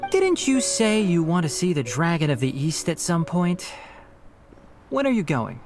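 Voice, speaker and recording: An actor playing a polite, composed young man of scholarly temper in an animated game. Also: on the high side, unhurried, faint music behind, exaggerated voice. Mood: calm, curious.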